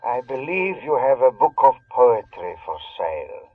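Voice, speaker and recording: A narrow, phone-filtered voice comes down the line. It is a curt, businesslike go-between in a radio spy thriller. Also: male voice, medium pitch, sing-song, normal speed. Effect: telephone filter. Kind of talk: radio drama acting. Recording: thin phone-like band.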